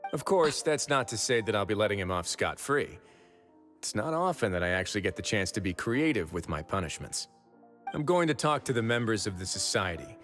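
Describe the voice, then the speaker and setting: A man playing a composed, authoritative video game character who speaks in a measured, wry, teasing way. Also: fairly low pitch, a bit bright. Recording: music behind the voice. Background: game background music.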